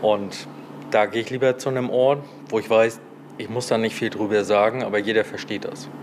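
Male social worker, forties, fairly deep, talking informally on location over noticeable noise. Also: fairly even intonation, a little fast. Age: 40s. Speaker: social worker and café organiser. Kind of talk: informal interview answers. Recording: clear ambient background noise.

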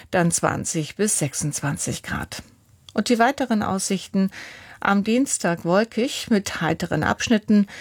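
A woman newsreader reading radio news and the weather in an even, measured studio delivery. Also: medium pitch, no echo. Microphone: studio mic.

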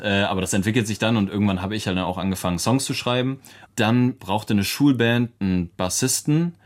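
A young man in his twenties from Germany who grew up speaking English as a first language, answering interview questions in a loose, rambling way with many fillers and hesitations. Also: on the low side. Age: 20s. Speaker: musician guest.

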